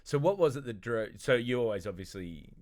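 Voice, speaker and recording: A man's voice: medium pitch, expressive, brisk. A man, hosting a podcast and asking curious, teasing follow-up questions from a studio armchair into a stand mic. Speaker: podcast host. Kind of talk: casual interview chat. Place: dark studio set, seated in armchair. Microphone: studio condenser mic on stand.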